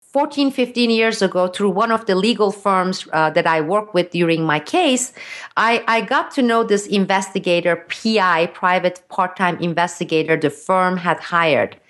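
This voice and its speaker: A woman from Iran, a Farsi speaker, recounting an anecdote in an animated, halting, conversational way. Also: lowish voice. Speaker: interviewee, whistleblower. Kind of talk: conversational storytelling.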